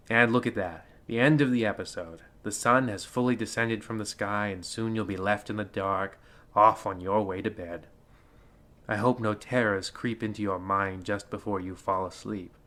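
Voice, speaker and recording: An actor playing a theatrical, pompous host of an old-time horror radio show. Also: male voice, fairly low pitch, a bit bright, natural voice. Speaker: radio play host narrator. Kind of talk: mock vintage horror anthology narration.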